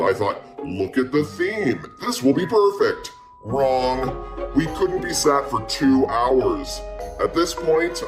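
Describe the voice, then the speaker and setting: A man giving a theatrical, indignant dramatic reading of a complaint. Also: mid-range voice. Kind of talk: dramatic reading of an angry review. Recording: clear music under the reading.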